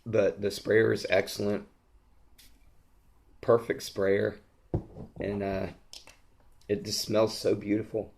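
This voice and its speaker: Hoarse male vlogger, low and fairly monotone, enthusiastic rambling reviewer full of ums. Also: takes it slowly, long pauses, a little hiss or hum. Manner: gushing, rambling, lots of ums and restarts. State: hoarse, losing his voice. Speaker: fragrance reviewer. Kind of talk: casual product review vlog.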